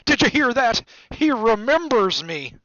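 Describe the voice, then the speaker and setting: A man voicing an excitable little gentleman who gushes and exclaims with delight, in an audiobook story. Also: medium pitch, a little fast, dry recording, muffled recording. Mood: thrilled.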